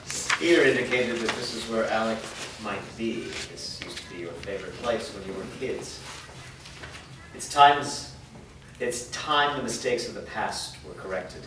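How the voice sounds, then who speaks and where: A man playing a worried, guilt-ridden father in a staged reading, speaking in a halting, earnest way. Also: fairly low pitch, close to an everyday voice. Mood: pleading. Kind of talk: staged reading of a fantasy drama. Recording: echoey room, distant mic, clear noise.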